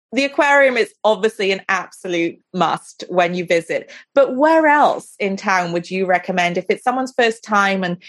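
A woman with a mid-range voice hosting a podcast. She keeps the conversation friendly and easy, often closing her questions with a tag question. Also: medium pitch. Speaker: podcast host, interviewer. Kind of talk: casual podcast interview.

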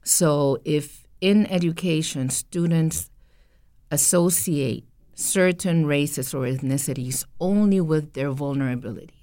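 A middle-aged woman from Costa Rica, a public health dean, answering podcast interview questions in a measured, thoughtful way. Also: deep voice, warm tone.

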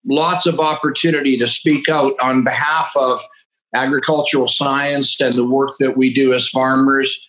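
A man's voice: mid-range voice, level tone, normal speed, fairly bright tone, rough recording. A man in his fifties with a Canadian accent, explaining things conversationally as a podcast guest. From northeastern Alberta, Canada. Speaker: podcast guest, agriculture entrepreneur.

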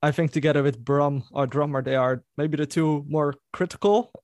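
A man's voice: a little high, moderate pace, darkish tone, no room sound, studio quality. A Dutch-speaking man in a band, telling a story in a casual interview and laughing as he goes. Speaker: band member.

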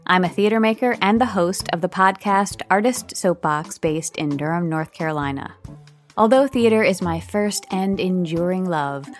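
A woman's voice: on the low side, normal speed, faint music behind. A woman hosting a podcast, reading a scripted introduction and interviewing a guest.

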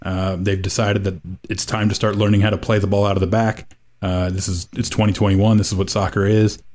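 Wry low-voiced man analyzing soccer. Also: little pitch movement, brisk, a little mellow. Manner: wry, rambling analysis with fillers and laughs. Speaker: podcast co-host. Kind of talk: casual soccer podcast chat.

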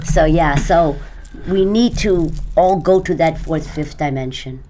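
A woman's voice: sits low, a little slow, noisy background. A middle-aged woman from India, a native Gujarati speaker, answering earnestly as an interview guest in a conversational way. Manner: earnest spiritual talk. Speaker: podcast guest.

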